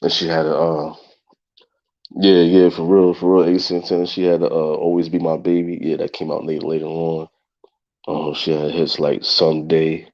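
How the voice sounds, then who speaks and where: A man's voice: very deep voice, level tone, moderate pace. A man in his thirties hosting a casual solo talk show, congested, with a loose, repetitive way of talking straight to his listeners. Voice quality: gravelly and husky. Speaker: podcast host. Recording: dull lo-fi sound.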